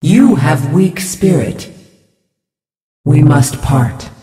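A woman playing a serene, devout female spirit healer in a video game, speaking in a measured, ceremonious, pious way. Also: low voice, lively intonation, mellow, recorded from a distance, exaggerated voice. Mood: solemn, judging. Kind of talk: video game character voice lines. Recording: reverberant hall effect, music behind.

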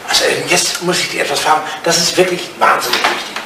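An actor playing an ageing English lord, fussy, wry and a little vain, in a retro radio comedy. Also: male voice, fairly high pitch, steady tone, fast talker, lightly acted. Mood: fussy, bewildered. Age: older. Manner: fussy, hesitant, digressive. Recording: roomy, noisy vintage radio play recording.

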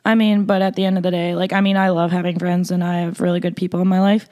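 A young woman talks in a loud, blunt, casual way, filling her sentences with "like." Her voice sits a little low for a woman. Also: level tone, fast talker, dull audio. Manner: loud, blunt, frequent "like" fillers. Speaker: podcast guest, makeup artist. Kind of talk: casual interview chat.

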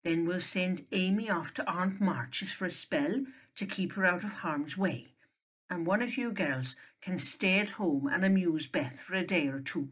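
An actress playing a kind, brisk older housekeeper in an audio drama, soothing and bustling. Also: female voice, fairly low pitch, a bit bright, husky. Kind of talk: amateur audio drama acting.

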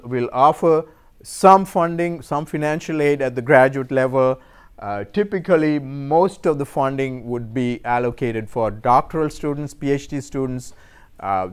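A middle-aged man from Sri Lanka, a university professor, giving an informal lecture in a room. He speaks in a measured, hesitant way, with many fillers and repeated words. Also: medium pitch, clear, resonant tone. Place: lecture room in a cultural center.

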